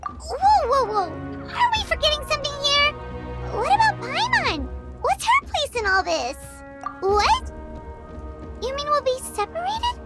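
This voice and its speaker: Squeaky, excitable little fairy girl. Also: measured pace, heavily acted. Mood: alarmed. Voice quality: squeaky, childlike. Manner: indignant, exclamatory, easily alarmed. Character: tiny, excitable childlike female fairy companion. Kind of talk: cartoonish video game acting. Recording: loud music behind the voice.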